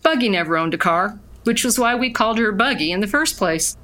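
A woman's voice: mid-range voice, animated, fairly quick, pushed and bright. An actress playing a wistful narrator who recalls her childhood in a folksy storytelling style for an audio drama. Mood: fond, wry. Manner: reminiscing, folksy.

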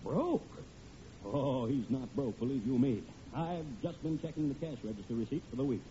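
A man's voice: mid-range voice, animated, normal speed, warm tone, close to an everyday voice. An actor playing a blustering, jovial middle-aged boss and doting father, theatrical, in old radio comedy style. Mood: stern, indignant. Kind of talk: 1940s radio comedy acting. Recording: muffled, noisy vintage radio recording.

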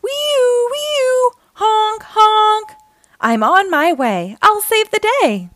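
A woman voicing an eager, plucky little fire engine in a children's storybook, with excited singsong siren and horn calls. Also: extremely high pitch, unhurried, no room sound, clean studio sound, voice pushed up, heavily acted. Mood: excited, urgent. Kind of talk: children's storybook read-aloud.

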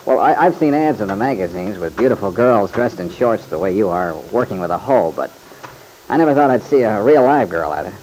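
A man's voice: lowish voice, expressive, a little fast, a little mellow, natural voice. An actor playing an easygoing, modest young country man with an American accent, folksy and a little bashful, in old-time radio drama. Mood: shyly admiring. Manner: folksy, slightly bashful, hesitant. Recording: noisy vintage radio recording.

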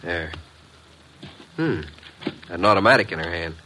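An actor playing a tough, wisecracking private investigator, terse and hard-boiled, in 1940s radio acting style. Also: male voice, low voice, expressive, moderate pace, pushed and bright. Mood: cool, pressing. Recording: very noisy old radio recording with clicks.

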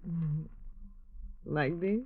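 A woman's voice: sits low, very slow, breathy edge, heavily acted. An actress playing a tender, teasing young woman in love, with a Mexican accent and intimate phrasing, in a radio drama. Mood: coy, affectionate. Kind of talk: 1950s radio drama acting. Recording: muffled old radio recording.

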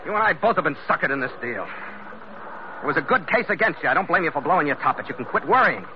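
An actor playing a tough, wisecracking ship captain who narrates and commands in clipped hardboiled style, in a 1940s radio adventure. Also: male voice, fairly high pitch, very animated, fast. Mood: urgent, commanding. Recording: muffled, noisy old radio recording.

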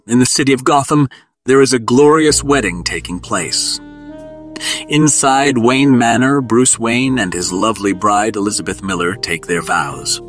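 A man giving dramatic scripted narration as the narrator of an audio drama. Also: medium pitch.

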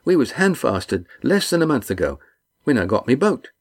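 A man's voice: medium pitch, sing-song, normal speed, pitched above the performer's own voice. A man voicing a big, hearty red-haired fisherman skipper with boisterous, folksy old-fashioned speech.

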